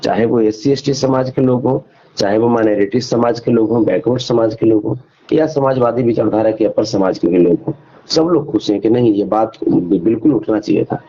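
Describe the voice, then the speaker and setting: Husky man on a muffled call. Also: fairly low pitch, brisk, dark tone. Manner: emphatic, repeats phrases, argues with rhetorical questions. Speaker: interview guest. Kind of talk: phone interview, opinionated conversational talk. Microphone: phone call. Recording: dull lo-fi phone call recording.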